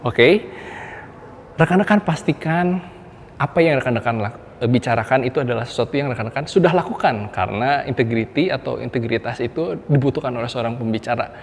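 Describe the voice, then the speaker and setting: An Indonesian man with an Indonesian accent coaching public speaking in a lecture-style video. His voice sits at an ordinary middle pitch, and he punches key words with energy as he talks straight to his viewers. The recording has a long, lingering reverb with faint noise underneath. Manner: animated, stresses key words, addresses viewers as "rekan-rekan".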